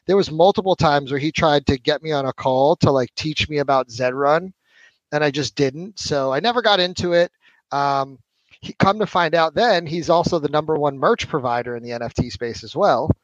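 A man in his forties, a podcast guest, telling his story in a relaxed, casual way with lots of fillers. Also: a little high, moderate pace, dry recording, dull audio. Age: 40s. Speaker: podcast guest, marketing executive. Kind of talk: casual interview chat.

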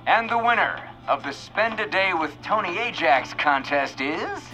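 A man playing a showy radio host and emcee with a booming showman delivery in an audio drama. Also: expressive, unhurried, sharp tone, muffled recording, faint music behind, heavily acted. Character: showy male radio announcer.

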